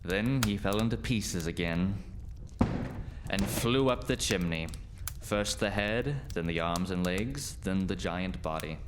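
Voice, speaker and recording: A man's voice: deep voice, expressive, takes it slowly, noisy background. A man narrating a scripted folk tale as the storyteller in a radio play.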